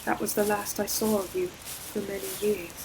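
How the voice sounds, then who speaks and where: A woman performing an ancient female forest demon in an audio roleplay, solemn, poetic and deliberate, a tender and sorrowful protective old friend. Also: medium pitch, little pitch movement, noisy background, lightly acted. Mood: mournful. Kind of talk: scripted audio roleplay monologue, slow dramatic storytelling. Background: ambient environmental sound effects.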